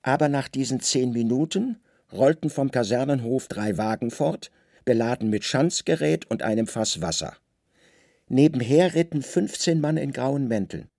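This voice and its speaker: A man narrating a literary radio play with dramatic, deliberate storytelling in short phrases. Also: medium pitch, gravelly, darkish tone, dry recording, studio quality.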